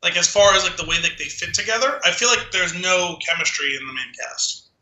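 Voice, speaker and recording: A man's voice: high voice, expressive, a little fast, sharp tone. A man co-hosting a podcast, giving his opinions in casual conversation, recorded in an echoing room. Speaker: podcast co-host.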